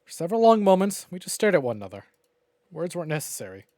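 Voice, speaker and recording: A male streamer reading a visual novel aloud with dramatic, expressive narration. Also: fairly high pitch, fast, no echo, studio quality. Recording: game music playing behind.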